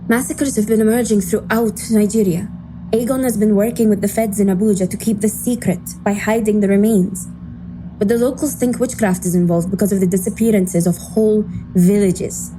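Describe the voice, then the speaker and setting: Blunt female operations officer for audio drama, played by a woman, medium pitch, grave delivery, noticeable background ambience. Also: steady tone, fairly quick, natural voice. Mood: grave, firm. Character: serious, blunt covert agency officer briefing a team. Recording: clear background noise and ambience.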